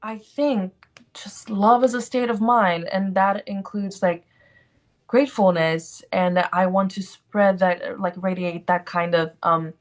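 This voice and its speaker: A woman's voice: medium pitch, fairly even intonation, measured pace. A young woman in her twenties from Brazil, with a Brazilian accent, chatting as an interview guest and filling her talk with "like" and "um". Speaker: guest, actor. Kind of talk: casual interview chat.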